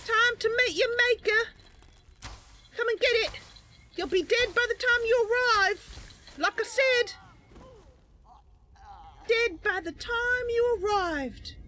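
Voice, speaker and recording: A woman's voice: very high-pitched, expressive, takes it slowly, pauses often, bright, forceful tone, a little hiss or hum, voice pushed up, full character voice. A woman voicing a plucky young female wood elf adventurer from a fantasy game, bold and taunting in her delivery.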